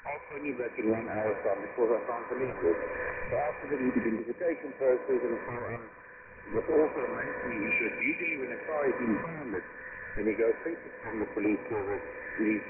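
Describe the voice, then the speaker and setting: A South African man in his forties talks casually in a phone interview, repeating himself for emphasis. His mid-pitched voice comes through very narrow and tinny, with clear shortwave static over it. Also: a little fast. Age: 40s. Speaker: interviewee, car owner.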